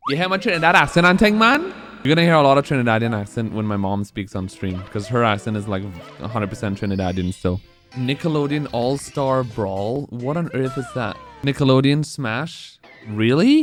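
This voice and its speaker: A man's voice: medium pitch, a little fast, fairly bright tone, soft background music. A man in his twenties speedrunning on a live stream, rambling casually and answering chat between moves.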